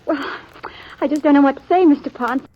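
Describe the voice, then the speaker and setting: A woman playing a sweet, humble, grateful young woman in a 1950s Western radio drama, soft and breathy, speaking hesitantly and wistfully. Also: high-pitched, a little fast, close to an everyday voice. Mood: awed, grateful. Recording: dull old radio recording.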